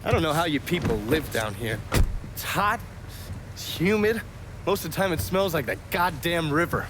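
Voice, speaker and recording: A man's voice: fairly high pitch, lively intonation, normal speed, noisy background, lightly acted. A man acting a jaded, sardonic intelligence man in a video game, profane and matter-of-fact. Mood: disgusted, grumbling.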